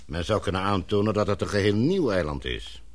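Deep-voiced, authoritative older father. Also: expressive, a little fast. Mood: serious, brisk. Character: older authoritative English knighted father, gloomy yet affectionate. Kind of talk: radio drama acting.

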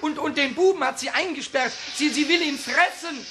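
An actor playing a small excitable goblin who stammers and talks in a squeaky voice. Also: male voice, brisk, loud music behind the voice. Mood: agitated. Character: cheeky little red-haired goblin, excitable. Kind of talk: 1960s radio play acting.

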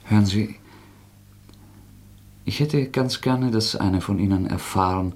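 An actor playing a proud, cornered intellectual suspect in a radio drama, politely mocking and bitterly ironic. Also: male voice, lowish voice, unhurried, a little mellow, lightly acted. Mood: sardonic, guarded. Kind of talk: German radio drama acting.

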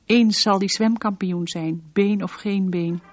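A woman's voice: mid-range voice, steady tone, measured pace, no room sound, faint music behind, close to an everyday voice. An actress playing a haunted mother confiding memories of her dangerous son in a radio drama monologue. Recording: muffled radio play recording, slight noise.

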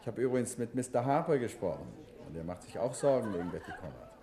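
A wry calm detective, dry teasing, old radio play, voice moves around a lot. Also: male voice, fairly low pitch, fast talker. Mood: probing. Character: wry, calm adult male detective, secretive. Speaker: amateur detective. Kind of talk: 1950s radio play acting.

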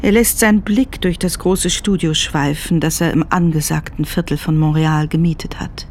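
A woman's voice: low-pitched, fairly even intonation, moderate pace, a little hiss or hum. Woman narrating over faint ambient sound. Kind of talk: scripted radio drama narration.

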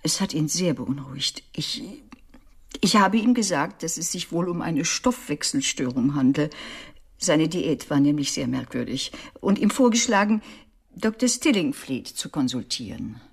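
Deep, husky, troubled widow. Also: female voice, expressive, a little slow, full character voice. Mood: troubled, careful. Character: troubled, hesitant wealthy widow recalling her husband's worries. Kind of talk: German radio play acting.

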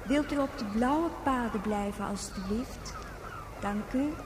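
An actress voices a formal female spaceport announcer in a radio play. Her high voice delivers each call very slowly and politely, echoing through clear background noise. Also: lightly acted. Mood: formal, neutral. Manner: slow, formal, measured announcing. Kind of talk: public address announcement in radio drama. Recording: echoing, noisy public address sound.

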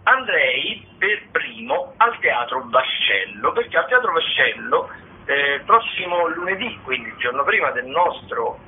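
A man hosting a radio show, talking through arts listings in a conversational, halting, digressive way, with frequent hesitations. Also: fairly high pitch, big pitch swings, a little hiss or hum. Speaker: radio theatre show host. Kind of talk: conversational radio listings of theatre and dance shows. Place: radio broadcast. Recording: slightly band-limited, phone-like radio sound.

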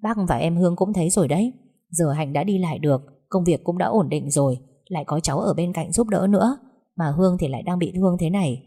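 Lady doing a polite sister-in-law asking nicely, measured, low mellow voice. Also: breathy edge.

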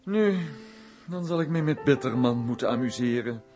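An actor playing a genial, flirtatious count in a period radio play, hearty, gallant and teasing in formal speech. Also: male voice, medium pitch, expressive, moderate pace, a little mellow, faint music behind, lightly acted.

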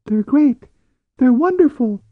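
Audiobook role: keen, defiant little lad, pitched well up, thin tone, dull recording. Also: male voice, expressive, measured pace, no room sound, full character voice. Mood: earnest, excited. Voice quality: thin, high. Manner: earnest, eager. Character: eager, stubborn, excitable small boy, proudly defiant. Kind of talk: audiobook character voice.

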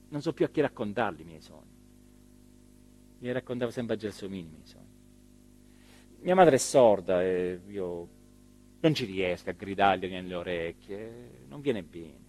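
An actor playing a rueful, self-important small-town mayor in a rambling, hesitant theatrical monologue. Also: male voice, on the low side, a little mellow, slight background noise, natural voice. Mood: wistful, resigned. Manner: rambling, hesitant, emphatic, with repeated phrases and pauses. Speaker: stage actor performing a radio theatre monologue.

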